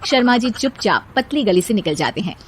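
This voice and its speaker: A woman's voice: mid-range voice, very animated, brisk, slightly rough. A woman narrating a scripted moral bedtime story as the storyteller.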